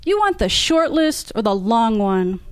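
A woman's voice: a little high, sing-song, measured pace, clean tone, no echo. A woman reading aloud as an angry, battered young woman who hurls accusations. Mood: angry, accusing. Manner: heated, accusing.

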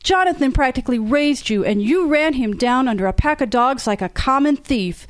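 Angry young woman, accusing, heated, high-pitched, dry close sound, woman reading. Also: animated, moderate pace, no room sound.

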